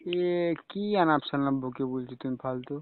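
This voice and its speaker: A man's voice: mid-range voice, moderate pace, a little hiss or hum. A young man talking casually on a private phone call, often checking with short questioning tags. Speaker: caller talking to a romantic partner. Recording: dull, lo-fi phone call recording.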